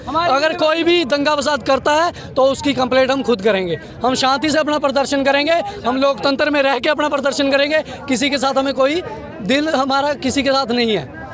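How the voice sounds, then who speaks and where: A young man giving an impassioned, declamatory protest speech outdoors, shouting slogans. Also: very high-pitched. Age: young adult. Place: outdoors on a street amid a crowd. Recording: crowd noise outdoors.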